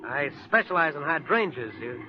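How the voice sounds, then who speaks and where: A man's voice: fairly high pitch, expressive, moderate pace, lightly acted. An actor playing a polite, chatty but sinister gunman in a 1940s radio drama. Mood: genial, chatty. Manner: mild, courteous chatter that turns to menace. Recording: dull lo-fi 1940s radio recording.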